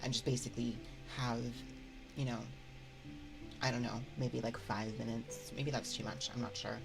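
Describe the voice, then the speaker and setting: A woman's voice: rumbling low, fairly even intonation, normal speed, creaky, rough recording. A woman hosting a livestream, chatting casually with viewers in a hesitant, rambling, giggly way into a binaural ASMR microphone. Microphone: binaural 3Dio ASMR microphone. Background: music.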